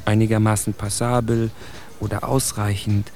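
A man's voice: lowish voice, little pitch movement, moderate pace, darkish tone, lightly acted. A man playing a bitter, articulate immigrant in a literary radio drama monologue, with intense, rhetorical phrasing. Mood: bitter, insistent. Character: bitter, articulate immigrant man indicting his generation's plight. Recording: music bed under the voice.

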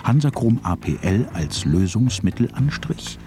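A man's voice: fairly low pitch, big pitch swings, a little slow, over music. A man narrating a radio drama in a matter-of-fact, descriptive way.

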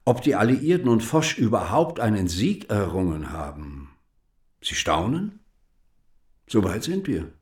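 An actor playing a bitter, patriotic French writer and deputy in a radio play, eloquent, rhetorical and ironic. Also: male voice, lowish voice, sing-song, measured pace, a little mellow. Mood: indignant, urgent.

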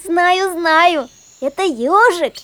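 An eager little granddaughter in a children's audio play. Her voice is extremely high and childlike. She sounds inquisitive and polite, keen to learn. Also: takes it slowly, sharp tone, exaggerated voice. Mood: curious, eager.

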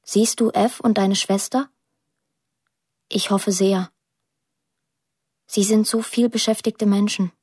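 An actress playing a young woman who narrates a radio drama in a plain, reflective, measured way. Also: medium pitch, steady tone, a little mellow, slightly rough, no room sound, clean studio sound. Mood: reflective, confiding. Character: pensive young woman narrating her estranged family.

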